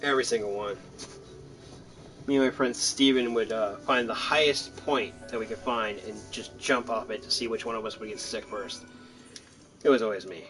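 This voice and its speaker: A man's voice: on the low side, fairly even intonation, normal speed, a bit bright, faint music behind. A man giving casual, rambling, self-deprecating commentary while playing a video game. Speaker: gaming streamer. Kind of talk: casual let's-play commentary.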